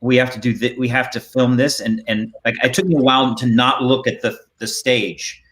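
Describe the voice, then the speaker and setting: A man hosting a casual interview over a video call, halting and rambling as he repeats words. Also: mid-range voice, fairly even intonation, a bit bright. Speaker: host and theater director. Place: indoor room on a video call.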